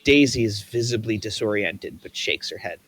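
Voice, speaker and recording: A man reading the stage directions aloud at a table read in a plain, even way. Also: lowish voice, moderate pace.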